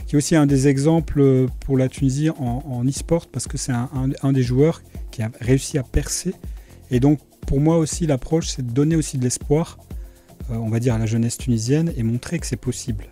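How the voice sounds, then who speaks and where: A middle-aged man from France, answering interview questions in a hesitant way with many pauses. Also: medium pitch, warm tone, faint music behind. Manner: hesitant, many fillers and restarts. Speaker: guest, video producer.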